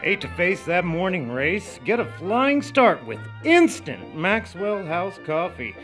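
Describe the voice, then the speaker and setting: A man acting in punchy 1940s radio style, as a blustering cop or a pitchman. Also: sing-song, takes it slowly, a bit bright, soft background music, full character voice. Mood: upbeat selling.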